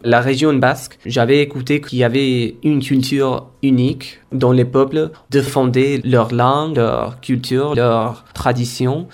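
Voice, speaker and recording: A man's voice: mid-range voice, steady tone, a little slow, few pauses, soft background music. A young man from India, a native Korava speaker, giving an earnest and reflective personal account. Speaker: language learner and teaching assistant.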